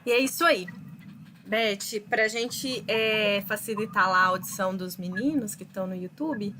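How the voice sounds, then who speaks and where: A woman hosting a live online chat, explaining things slowly and thinking aloud with fillers. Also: medium pitch, bright, forceful tone, a little hiss or hum. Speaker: host. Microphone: video call.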